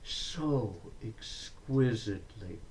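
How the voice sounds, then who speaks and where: A man reading a dramatic monologue in verse, in a deliberate, declamatory manner with frequent pauses. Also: on the low side, expressive, a little mellow, a little hiss or hum. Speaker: poetry reader. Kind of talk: dramatic monologue poetry recitation.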